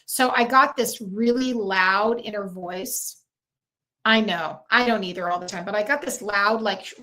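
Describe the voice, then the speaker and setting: A woman hosting a casual tarot livestream, talking warmly to viewers and reading out their comments, with frequent fillers and restarts. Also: medium pitch, poor audio.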